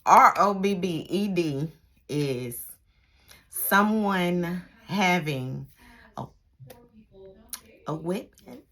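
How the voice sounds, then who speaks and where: A woman's voice: fairly low pitch, lively intonation, very slow, long pauses, fairly bright tone. A middle-aged American woman reminiscing casually, acting out little exchanges and repeating phrases for emphasis. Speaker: vlogger, retired police dispatcher. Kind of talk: casual reminiscing chat to camera.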